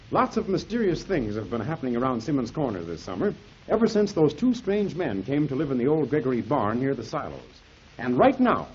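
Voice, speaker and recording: A man's voice: on the high side, a little mellow, husky. A man announcing and narrating in scripted 1930s radio style, emphatically addressing children. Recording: dull, muffled 1930s radio recording.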